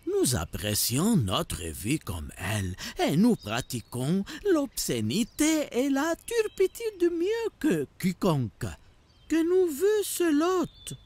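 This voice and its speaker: Woman voicing a husky, swooping, scornful cartoon villain. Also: fairly high pitch, huge pitch swings, takes it slowly, darkish tone, heavily acted. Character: shameless, scornful villain of a sinful town. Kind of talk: animated religious story acting.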